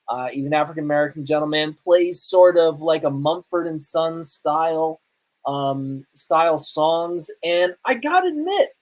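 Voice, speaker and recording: A man hosting a podcast, talking casually in a rambling, conversational way and often correcting himself. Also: a little high. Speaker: podcast host. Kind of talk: casual live podcast talk and reviews.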